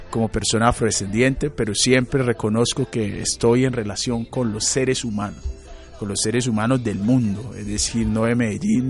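A Colombian man in his late thirties, a psychologist and radio guest, answering in a thoughtful, halting way with many fillers and restarts. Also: medium pitch. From Colombia, Chocó. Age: late 30s. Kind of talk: reflective interview answers.

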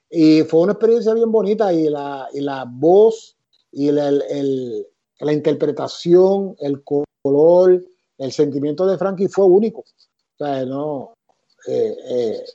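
A middle-aged man with a Puerto Rican accent, talking through his career at an easy, reflective pace. His voice sits a bit high but sounds mellow and dark. The recording is a little dull and lo-fi. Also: a little slow. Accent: Puerto Rican Spanish. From Puerto Rico. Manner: relaxed, reflective, many fillers. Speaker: musician and arranger, guest. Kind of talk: interview answers, storytelling.

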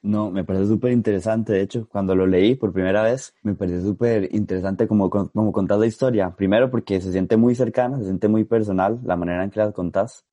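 A man's voice: fairly low pitch, fairly quick, few pauses, warm tone. A man, interviewing in a casual, conversational way.

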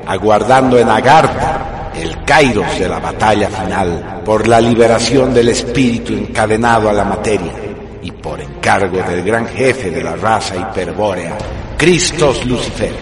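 A man's voice: on the low side, expressive, unhurried, crowd noise. A man narrating a radio drama in a solemn, grandiose scripted style with dramatic emphasis. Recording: music behind the voice. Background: music.

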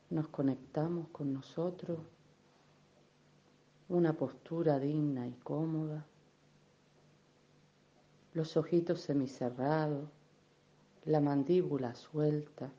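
A woman leading a guided meditation in a gentle, unhurried, soothing manner, with affectionate little diminutives. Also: deep voice, muffled recording. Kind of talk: guided meditation, soft instruction.

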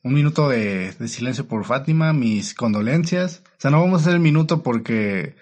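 A man's voice: mid-range voice, lively intonation, moderate pace, a little mellow. Earnest young Mexican man, mid voice. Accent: Mexican Spanish. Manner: earnest, hesitant, many fillers. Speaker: podcast co-host. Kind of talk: casual discussion.

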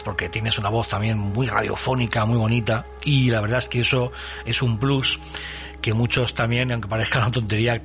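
A man's voice: mid-range voice, fairly bright tone. A man with an accent from Spain, hosting a radio interview in a conversational style. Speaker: radio show host and interviewer.

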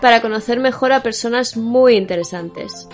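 Young woman, podcast host, fairly high voice, chatty, quick asides. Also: faint music behind. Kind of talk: casual podcast chat.

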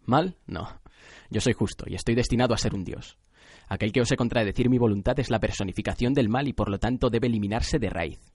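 A man's voice: mid-range voice, a little fast, a little mellow, husky, no room sound, studio quality, natural voice. A man playing a cold, arrogant young man who sees himself as a god of justice, solemn and grandiose, in an anime radio drama.

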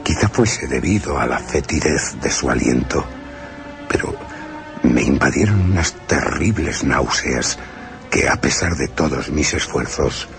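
Very deep gravelly man over music. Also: animated, unhurried. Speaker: narrator reading a first-person passage. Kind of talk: dramatic radio narration. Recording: music bed under the voice.

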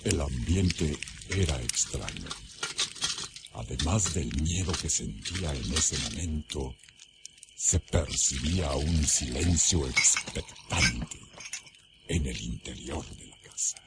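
A man's voice: extremely low pitch, expressive, slow, heavy background noise, full character voice. A man with a Mexican accent narrating a scripted horror radio drama in a solemn, suspenseful manner. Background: loud dramatic music.

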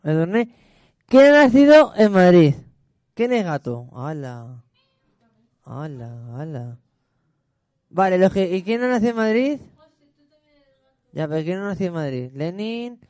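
A man hosting a casual radio talk show, quizzing his guests with quick playful questions. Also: high-pitched, sing-song, a little mellow, no room sound. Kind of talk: casual studio chat.

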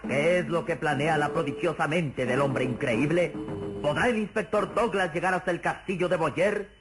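An actor as a grave, suspenseful narrator of a vintage radio serial, florid and dramatic. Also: male voice, on the high side, moderate pace, lightly acted. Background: dramatic music.

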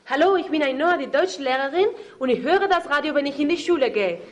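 High, swooping woman teacher in echoey room. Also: expressive, moderate pace. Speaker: German teacher. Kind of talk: recorded group greeting for radio. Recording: echoey room, noisy group recording.

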